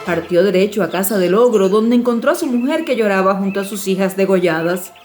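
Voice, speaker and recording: A woman's voice: mid-range voice, a little fast. A woman reading a classic fairy tale as an audiobook narrator, in scripted storytelling style. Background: soft music.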